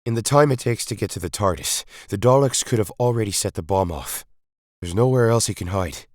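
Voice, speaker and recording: A man's voice: lowish voice, a little fast, a little mellow, dry recording, clean studio sound, voice pushed up, close to an everyday voice. A man voicing a grave, urgent Time Lord hero. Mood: urgent, resolute. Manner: emphatic, commanding.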